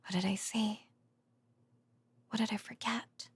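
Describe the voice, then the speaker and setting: A woman voicing a tender, weary researcher girlfriend in an intimate ASMR audio roleplay, speaking softly and hesitantly, partly in whispers, close to the microphone. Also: mid-range voice, level tone, unhurried. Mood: wistful, uneasy. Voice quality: soft, breathy, partly whispered. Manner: hesitant, drowsy, musing, with sighs and soft chuckles.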